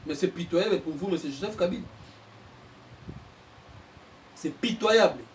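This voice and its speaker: A Congolese man, a journalist and political analyst, giving impassioned commentary and getting louder as he presses his points. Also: high voice, fast, distant mic, a little hiss or hum, low-quality recording. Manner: heated, mixes French and Lingala, bursts louder on points.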